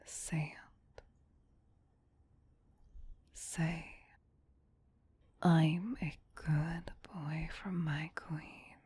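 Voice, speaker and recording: A woman playing a doting, possessive vampire queen in an ASMR roleplay, whispering softly and soothingly in an intimate, coaxing manner. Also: low voice, steady tone, drawn-out words, long pauses, exaggerated voice. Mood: teasing, praising. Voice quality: breathy, soft whisper.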